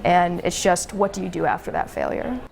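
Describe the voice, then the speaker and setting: A woman's voice: on the low side, fairly quick, gravelly, a little mellow, rough recording. A woman filmmaker answering interview questions.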